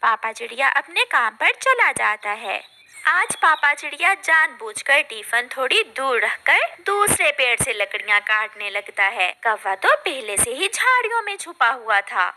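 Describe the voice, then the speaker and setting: A woman narrating a cartoon story in a lively, expressive way. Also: high-pitched, a little slow.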